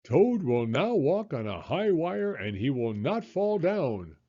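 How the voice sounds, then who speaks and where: A strange, grand storybook announcer, performed by a man, proclaiming to a theater. The pitch is raised and sweeping, the delivery drawn out. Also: big pitch swings, takes it slowly, dark tone, muffled recording, full character voice. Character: strange, grand stage announcer voice from far away.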